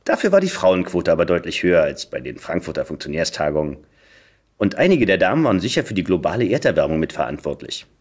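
A man with a slightly low voice reads a comic story aloud. His pitch moves around a lot, giving the narration an animated shape, while the delivery stays dry and wry. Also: fast talker. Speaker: podcast guest, voice actor. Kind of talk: expressive reading aloud of a satirical first-person story.

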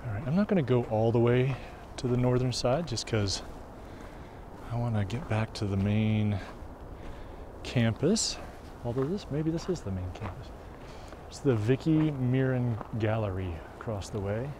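A man's voice: medium pitch, expressive, moderate pace. Chatty man on a windy outdoor walk. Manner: chatty, musing aloud, unsure of building names. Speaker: walking tour guide. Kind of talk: casual narration while walking. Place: outdoors on a snowy city campus. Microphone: camera carried while walking. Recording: outdoor wind and traffic noise. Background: wind and street noise.

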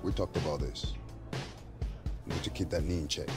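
An actor playing a firm, protective basketball coach in a video game cutscene. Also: male voice, extremely low pitch, moderate pace, music in the background.

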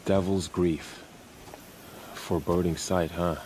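Deep-voiced, earnest young warrior. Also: male voice, steady tone, slow pace, darkish tone, slight background noise. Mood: low, serious. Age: young adult. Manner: earnest, loyal. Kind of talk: dramatic video game acting. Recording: game dialogue over music.

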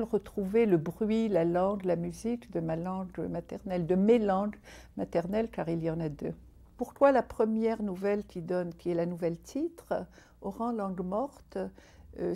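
A woman writer from Algeria, whose first language is Arabic, speaks in a seated interview indoors, thoughtful and measured, with frequent pauses and restarts. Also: mid-range voice, warm tone. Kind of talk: reflective interview talk. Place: indoor room by a window. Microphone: unseen, seated interview framing.

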